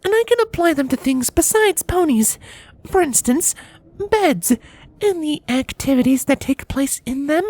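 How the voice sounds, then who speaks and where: Woman putting on a very high, sulky pony princess. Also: expressive, measured pace, darkish tone, no echo. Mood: frosty. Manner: hesitant, grudging, defensive. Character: sulky, remorseful young pony princess, female. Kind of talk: fan fiction storybook reading.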